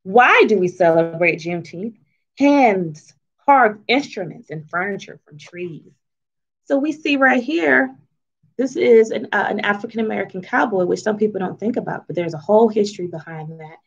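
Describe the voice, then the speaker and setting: A woman with a mid-pitched voice reads a children's book aloud and explains it as she goes. Her phrasing is emphatic and teacherly, with frequent questions to young listeners. The recording is a little dull and lo-fi. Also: lively intonation, normal speed, gravelly, slightly rough. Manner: teacherly, asks questions and checks in often. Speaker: children's author and storyteller.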